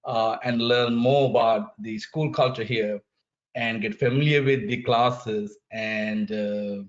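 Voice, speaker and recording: Panelist: man from Sri Lanka, mid pitch, halting and filler-heavy, dull lo-fi call audio. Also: steady tone. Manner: halting, many fillers and restarts. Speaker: transfer student panelist. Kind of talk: panel discussion answer.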